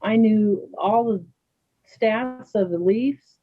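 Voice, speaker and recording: A woman playing a calm, kind, sporty Canadian woman in a readers theater dialogue. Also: mid-range voice, slow. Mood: friendly, matter-of-fact. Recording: thin phone-like sound.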